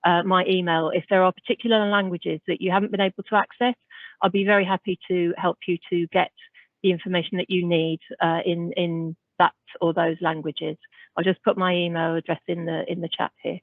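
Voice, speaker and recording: A woman's voice: lowish voice, flat delivery, fairly quick, no room sound. Woman on a thin, phone-like call line. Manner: stammering restarts, then helpful. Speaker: health service staff member. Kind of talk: answering a question in an online meeting. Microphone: video call.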